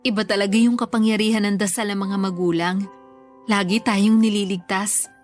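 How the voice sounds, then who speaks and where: A woman's voice: mid-range voice, steady tone, normal speed, faint music behind, lightly acted. An actress playing a grateful, affectionate older sister and mother in a sentimental radio drama, speaking tenderly and earnestly. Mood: proud, affectionate.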